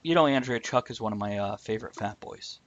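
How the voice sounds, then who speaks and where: A man's voice: on the low side, fast, no echo. Guy hosting a livestream, kinda muffled lo-fi sound, rambles casually, blunt, swears and jokes. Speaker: live stream host. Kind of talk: casual rambling stream chat.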